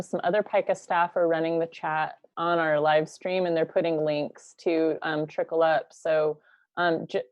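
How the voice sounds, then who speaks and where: Deep-voiced woman moderator, halting. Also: clean tone, no echo. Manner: gentle, halting, with many fillers. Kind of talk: panel conversation. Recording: dry, dull video call audio.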